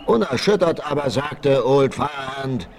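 A man's voice: medium pitch, unhurried, a crowd in the background, lightly acted. A man narrating a 1960s radio adventure as scripted, dramatic storytelling. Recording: 1968 vinyl radio play, noisy.

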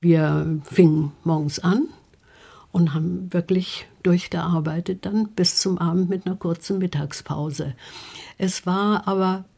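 A woman's voice: lowish voice, steady tone, measured pace, vocal fry, dark tone, breathy edge. An older woman reminiscing in a radio interview about her work, conversational and enthusiastic. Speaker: radio drama actress interviewed.

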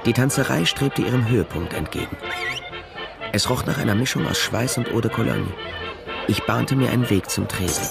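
An actor playing a world-weary private detective who narrates a crime story in a measured, sombre radio drama style. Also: male voice, mid-range voice, creaky, loud music behind the voice. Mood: reflective. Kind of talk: scripted first-person radio drama narration.

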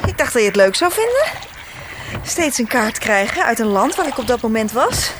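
An actress playing a cheerful sister who travels the world, breezy and teasing, in a Dutch radio play. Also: female voice, on the high side, very animated, normal speed, noisy background. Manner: breezy and teasing, with frequent laughs. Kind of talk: radio drama acting.

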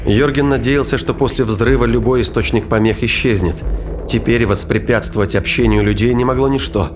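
A man's voice: mid-range voice, fairly even intonation, brisk. A man narrating a science-fiction audiobook in a scripted, dramatic storytelling style. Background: music.

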